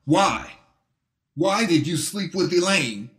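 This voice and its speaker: A raging husband confronting the man who slept with his wife, voiced by a man and pushed high and strained. He shouts and demands answers. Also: animated, takes it slowly, reverberant. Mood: furious. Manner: shouting, losing his temper.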